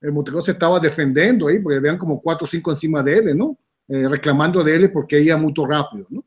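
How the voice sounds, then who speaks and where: Venezuelan man, Spanish-accented Portuguese, slightly high voice, halting storyteller with constant "não?" tags. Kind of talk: casual chat.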